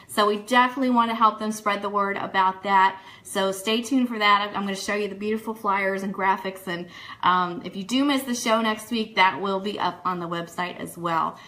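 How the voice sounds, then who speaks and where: Bright, high-voiced enthusiastic woman host. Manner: enthusiastic, upbeat, addresses listeners directly. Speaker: radio show host. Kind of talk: live online fan news broadcast, chatty announcements. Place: studio.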